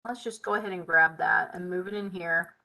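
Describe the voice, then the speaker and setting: A woman hosting a live software tutorial, thinking aloud as she works, her voice tired and hoarse, chatty with a Southern "y'all". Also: on the low side, fairly even intonation, fairly quick, rough recording. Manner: chatty, Southern "y'all", muttering while working.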